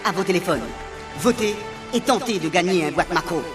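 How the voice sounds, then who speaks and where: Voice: mid-range voice, animated, brisk, natural voice. An actor playing a grand epic narrator of a comic radio serial, reading a scripted dramatic recap over music. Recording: music under the voice.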